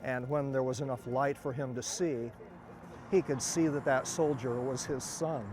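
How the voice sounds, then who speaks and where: A man's voice: medium pitch, fairly even intonation, moderate pace. A man telling a story in a narrative style. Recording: music behind the voice.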